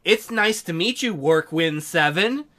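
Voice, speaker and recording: A man voicing a lonely, prim young female demon imp in a visual novel game, earnest and halting. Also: sits high, animated, bright, forceful tone, full character voice. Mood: polite.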